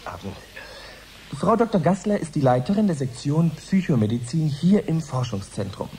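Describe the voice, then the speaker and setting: An actor playing a courteous radio science reporter, presenting a live broadcast in a formal, measured way. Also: male voice, fairly high pitch, sing-song, darkish tone. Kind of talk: radio play styled as live news broadcast. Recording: noisy 1980s radio recording.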